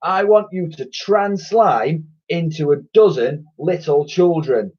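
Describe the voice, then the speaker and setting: A man's voice: on the high side, slow, darkish tone, breathy edge, pitched above the performer's own voice. A man voicing a bold, cheeky young boy in a storybook reading. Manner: bold, bossy. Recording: dull lo-fi recording.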